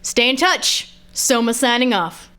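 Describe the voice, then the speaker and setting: Woman as a slow, bright-voiced space admiral. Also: on the high side, lively intonation, smooth. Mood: brisk, commanding. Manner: measured, authoritative. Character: composed commanding space admiral, formal and dryly cautious. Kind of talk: audio drama acting.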